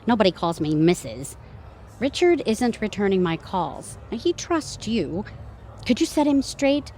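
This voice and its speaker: A woman's voice: mid-range voice, big pitch swings, measured pace, a little mellow. A woman playing an exasperated middle-aged wife and mother in an audio comedy, with faint noise behind. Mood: exasperated, pleading. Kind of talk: audio comedy drama acting.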